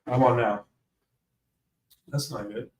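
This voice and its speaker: A man's voice: medium pitch, slow pace, breathy edge. Congested man rambling on a livestream. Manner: rambling, keeps asking "right?". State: congested from a cold. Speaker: streamer. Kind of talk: casual livestream monologue. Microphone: desk mic through an audio interface.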